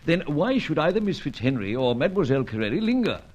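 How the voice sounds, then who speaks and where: A jovial upper-class British spymaster in an old radio adventure. He speaks in a fairly high, animated voice, pompous and old-fashioned, with a teasing avuncular air. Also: quick pace, highs cut off. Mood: pondering. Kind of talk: vintage radio adventure serial acting.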